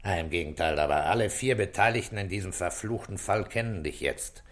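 An actor playing a middle-aged, gruff and authoritative police inspector in a 1960s German radio play, speaking briskly and commandingly. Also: male voice, low-pitched. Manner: brisk, commanding, matter-of-fact.

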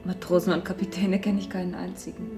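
A fairly low young woman's voice over clear plucked guitar music. An actress plays a modest office clerk in a radio drama, chatting in a plain, friendly, self-effacing way. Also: flat delivery, fast talker, close to an everyday voice. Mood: chatty, modest. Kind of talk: German radio drama acting.

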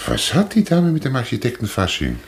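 An actor playing a shrewd, servile delicatessen shopkeeper with a grievance in a German radio play, speaking with oily, theatrical flourishes. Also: male voice, mid-range voice, wildly swooping pitch, fairly quick. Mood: wary, declaiming. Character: obsequious, shrewd, aggrieved delicatessen shopkeeper, living alone. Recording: roomy, echoing radio play studio.

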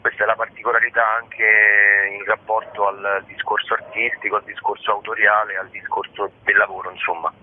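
A man's voice: on the low side, little pitch movement, normal speed, hardly any pauses, slight background noise. A man giving an informal interview as a guest, explaining things hesitantly as he searches for words, over a telephone. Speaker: guest, curator of a digital theatre book series. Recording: phone-line sound on radio.

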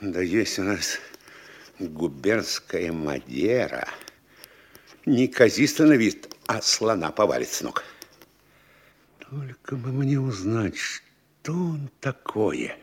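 An actor playing a fawning, scheming middle-aged town mayor in a classic Russian comedy audio play, obsequious and bossy by turns. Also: male voice, fairly low pitch, big pitch swings, slow, pauses often, full character voice. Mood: scheming muttering. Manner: obsequious, swinging between oily courtesy and gruff muttering.

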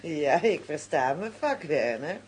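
A woman's voice: low voice, big pitch swings, a little slow, darkish tone. An actress playing a teasing, flirtatious, worldly woman in a crime radio drama, with a playful drawl. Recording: faint background noise.

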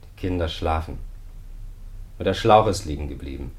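Male chaplain, 1950s German radio play: low pitch, terse, grave, quietly stubborn. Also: animated, moderate pace. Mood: wistful, subdued.

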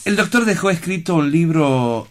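A man's voice: a little high, animated, moderate pace, lightly acted. A man playing an earnest, lyrical sanatorium director in a radio play, speaking in a solemn, eloquent, declamatory way. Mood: earnest, explanatory. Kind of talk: theatrical radio play acting.

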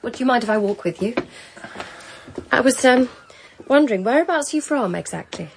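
An actress in a radio drama playing a timid companion who asks hesitant, probing questions. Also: female voice, a little high, expressive, brisk, vocal fry, background noise. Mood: curious. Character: timid companion longing to escape, prying.

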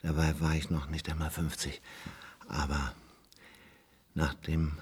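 An old retired policeman in a radio drama, reflective and worn out. The voice is low and husky, and he feels his way haltingly through his memories, pausing to ponder. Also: level tone, full character voice. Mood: weary, nostalgic. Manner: halting, slow, pensive recollection. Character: weary old former police officer, reflective, haunted. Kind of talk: German radio play acting.

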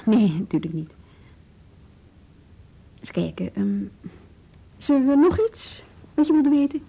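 An actress playing a composed, tactful but firm female police bureau chief in a Dutch radio drama, speaking with measured authority. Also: a little high, very animated, vocal fry, dark tone, breathy edge, heavily acted. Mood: reassuring.

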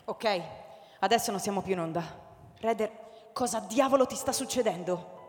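A woman's voice: medium pitch, moderate pace, a little mellow, long reverb tail, natural voice. An actress playing a tough, irritated young TV network woman in a radio drama, blunt, scolding and sarcastic. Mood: curt irritation. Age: young adult. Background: music, clearly heard.

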